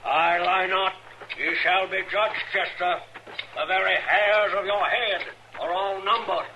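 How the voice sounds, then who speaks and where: A wrathful, mad old preacher from the backwoods. He sermonizes in archaic scripture with a hard, piercing edge and a raised pitch, on a faintly hissy old radio western recording. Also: fairly even intonation, unhurried, sharp tone, muffled recording, full character voice. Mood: stern, condemning. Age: older. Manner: thunderous sermonizing in archaic biblical speech.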